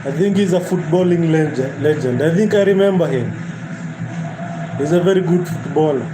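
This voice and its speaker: A man's voice: a little high, normal speed. Laid-back man chatting, noisy background. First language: Kikuyu. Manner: laid-back, repeats himself, says "bro". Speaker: watch-along streamer. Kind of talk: casual chat.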